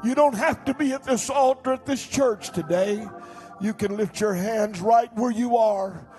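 An older man preaching a sermon as pastor from a church stage into a handheld mic, swinging between shouted declarations and low, quiet passages. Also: measured pace, soft background music. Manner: fervent preaching, rising to shouts then dropping low.

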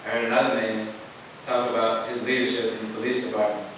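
A man's voice: fairly low pitch, little pitch movement, quick pace, a bit bright, a little hiss or hum. A young man giving an earnest, plainspoken public comment at a council meeting. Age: young adult. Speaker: youth commission member. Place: council chamber. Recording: echoey far-off chamber audio.

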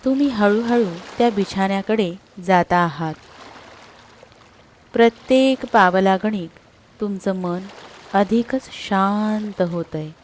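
A woman speaks very slowly in a mid-pitched voice, guiding a sleep meditation. Her delivery is soothing and scripted, with short phrases separated by long pauses. A faint layer of trickling water ambience runs behind her. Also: a little mellow, slight background noise. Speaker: guided meditation narrator.

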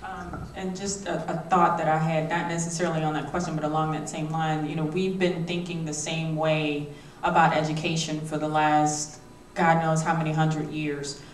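A woman's voice: deep voice, flat delivery, moderate pace. A woman, a state legislator, giving earnest answers on a panel through a handheld mic. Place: theater stage. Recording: roomy, distant, faint noise.

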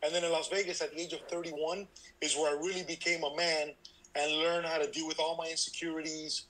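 Bright, high-voiced energetic guest. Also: male voice, brisk, slight background noise, poor audio. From Cuba, raised in Miami. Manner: energetic, frank and self-deprecating. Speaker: baseball instructor guest. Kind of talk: candid life story in interview.

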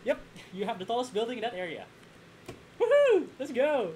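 A young man playing a board game in a small room, excitable and boastful, laughing often, heard from a camera across the table. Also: very high-pitched, normal speed, slight background noise. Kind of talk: casual game banter.

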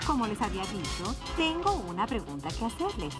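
A young warrior heroine of a cartoon, acted by a woman, bold and sure of herself. Her mid-pitched voice moves expressively, with a Latin American Spanish accent over loud music. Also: very animated, brisk, lightly acted. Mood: coolly bold.

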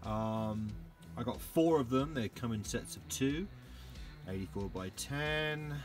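Relaxed man explaining a DIY project. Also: medium pitch, slow, faint music behind. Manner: relaxed, lots of "uh" and "um". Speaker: DIY vlogger. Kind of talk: casual how-to narration. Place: outdoors in a yard.

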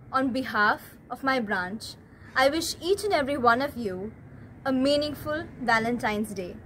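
A woman's voice: high-pitched, a bit bright, a little hiss or hum, poor audio. A young woman with an Indian English accent gives a prepared introduction as presenter, recorded outdoors. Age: young adult.

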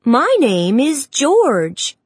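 A woman acts out a gentle young boy giraffe from a children's storybook. The voice is friendly and earnest, taking its time, with lively rises and falls. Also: high voice, drawn-out words, slightly rough, dry recording, studio quality, voice pushed up, full character voice. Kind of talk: children's storybook character reading.

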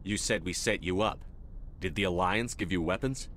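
An actor playing a tough, no-nonsense military commander in a video game, speaking in a terse, hard-edged, controlled way. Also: male voice, sits low, normal speed, fairly bright tone. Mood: curt, controlled.

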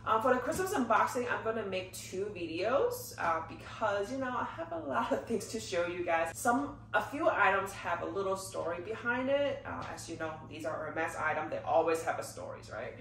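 A woman's voice: medium pitch, sing-song, moderate pace, few pauses, fairly bright tone, a little hiss or hum. A congested woman recovering from a cold, chatting casually to her viewers in a filler-heavy way while seated in her living room. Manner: chatty, frequent "like" and "you know" fillers. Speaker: luxury unboxing vlogger. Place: living room, seated behind gift boxes. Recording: echoey room, slightly distant mic.

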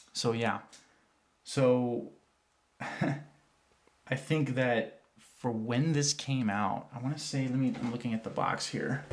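A man's voice: medium pitch, darkish tone, a little hiss or hum. A man with a cold, casually reviewing a toy vehicle off camera, rambling with many fillers and restarts. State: congested from a cold.

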